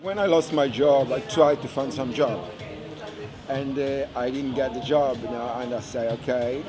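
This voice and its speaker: A man from Angola, a kizomba teacher speaking haltingly in an interview, searching for his words. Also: medium pitch, fairly even intonation. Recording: music and crowd behind.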